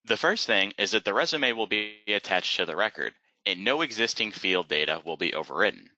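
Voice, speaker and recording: A man narrating an instructional webinar as a software trainer, explaining methodically step by step in a close, dry, slightly dull recording. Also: lowish voice, lively intonation, a little fast, dry recording.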